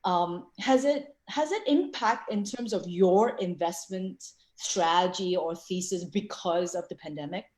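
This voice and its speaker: A woman's voice: fairly low pitch, unhurried, darkish tone. A woman hosting a casual online panel over a video call, chatty and full of fillers. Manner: filler-heavy, chatty, frequent restarts. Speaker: webinar host and co-founder. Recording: echoey room.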